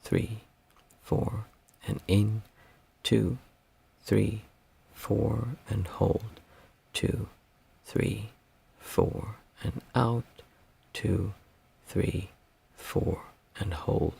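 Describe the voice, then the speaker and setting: A hoarse man with a raspy, worn voice, guiding a group meditation in a calm, unhurried way. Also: on the low side. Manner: calm, unhurried counting of breaths. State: hoarse, losing his voice. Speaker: meditation teacher.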